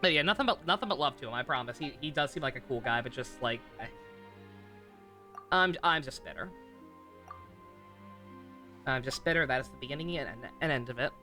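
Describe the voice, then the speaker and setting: Bright-voiced male streamer, rambling and halting. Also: mid-range voice, lively intonation. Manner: rambling, halting, self-correcting. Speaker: game livestreamer. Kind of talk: casual live stream commentary. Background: game music.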